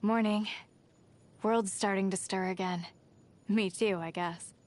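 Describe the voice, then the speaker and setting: A woman's voice: mid-range voice, fairly even intonation, slow, a little mellow, close to an everyday voice. A woman voicing a practical, rugged young female hunter in a video game, with a matter-of-fact manner.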